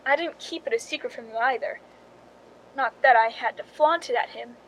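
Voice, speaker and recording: Woman acting high-voiced, calculating radio noir dame. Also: a bit bright. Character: cool, calculating young Hollywood stand-in narrating her own story. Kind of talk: 1940s-style suspense radio drama acting. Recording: noisy recording with low rumble.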